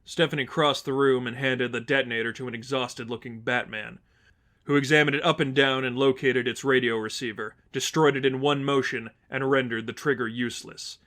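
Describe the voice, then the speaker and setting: A man's voice: mid-range voice, steady tone, fairly quick, a bit bright. A man reading scripted fiction as a narrator, in a storytelling style.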